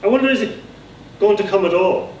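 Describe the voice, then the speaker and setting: A man's voice: high-pitched, a little mellow, pitched above the performer's own voice, natural voice. A man voicing an anxious, simple-minded young Irish man who stammers, slurs and repeats himself in a storybook reading. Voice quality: stammering, slurred speech. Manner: repetitive, eager, halting. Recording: roomy, distant mic with background noise.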